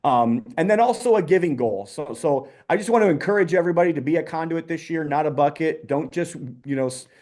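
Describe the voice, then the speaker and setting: An upbeat man hosts a casual video call, his voice sitting a little high for a man. He talks warmly to the group, full of ums and quick restarts. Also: lively intonation. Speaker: host of a community call. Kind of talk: casual online call hosting.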